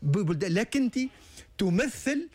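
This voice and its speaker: A man's voice: high-pitched, very animated, unhurried, warm tone. A man with a Tunisian Arabic accent, a guest analyst on a talk show, who often stalls and restarts mid-sentence. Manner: hesitant, many stalled restarts and fillers. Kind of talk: studio talk show discussion.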